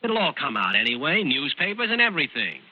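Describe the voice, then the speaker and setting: An actor playing a smug, mock-polite blackmailer who talks casually and needles, in old-time radio drama style. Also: male voice, on the high side, big pitch swings, fast talker. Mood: sly, coaxing. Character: sly smug blackmailing brother, narrating his own scheme. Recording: muffled, husky vintage radio recording.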